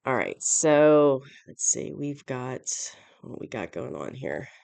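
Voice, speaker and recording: Tarot host, stuffy allergy voice and sore throat, gravelly, dry close sound, casual and rambling. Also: mid-range voice, big pitch swings, takes it slowly, a little mellow, no echo. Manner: rambling, repeats and corrects phrases. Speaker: tarot reader, channel host. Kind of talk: casual weekly tarot reading to camera.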